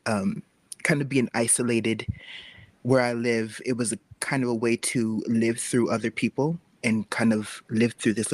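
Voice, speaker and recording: A man talking casually and reflectively as a guest creator on a panel, pausing to find his words. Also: medium pitch, dry recording.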